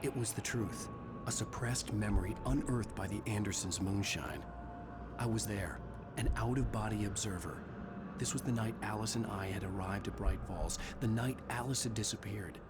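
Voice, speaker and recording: A man's voice: on the low side, normal speed, heavy background noise, lightly acted. An actor playing a troubled, brooding writer searching for his missing wife, in dramatic video game acting. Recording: loud music under the voice. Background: loud game music.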